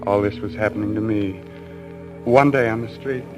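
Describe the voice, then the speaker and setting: A man's voice: lowish voice, steady tone, moderate pace, lightly acted, poor audio. An actor playing a haunted, guilt-ridden man losing his mind to ape-madness, in a brooding, melodramatic old-time radio style. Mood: brooding dread. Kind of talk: old-time radio thriller acting, first-person narration. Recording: vintage radio recording with music.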